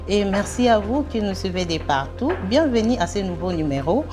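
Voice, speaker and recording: A woman's voice: medium pitch, big pitch swings, moderate pace, over music. A woman co-hosting a talk show, playful and chatty, mixing in Lingala greetings to viewers. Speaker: co-host. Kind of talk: casual chat.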